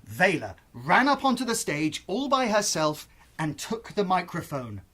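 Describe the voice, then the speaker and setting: An expressive storyteller reading a bedtime tale for young children. The delivery is unhurried and dramatic, swinging widely in pitch to build suspense, with a slightly husky tone.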